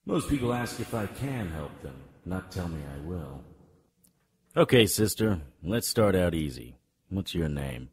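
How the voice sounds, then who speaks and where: Cynical supernatural detective, deep voice, husky, wisecracking, noir radio drama delivery, hardboiled slang. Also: male voice, very animated, a little slow, darkish tone, full character voice. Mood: wry, appraising. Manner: sardonic hardboiled patter with old detective slang. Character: world-weary male supernatural private eye, wisecracking and cynical.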